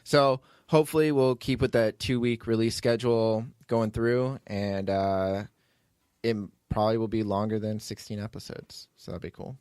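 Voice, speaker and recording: A man with a fairly low voice hosts a casual podcast. He talks informally and loosely, rambling and cutting into his own sentences as he goes. The sound is very dry, with no room echo. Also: steady tone, moderate pace, a little mellow, smooth. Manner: rambling, informal, self-interrupting. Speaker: podcast host, writer and producer. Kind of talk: casual unscripted chat.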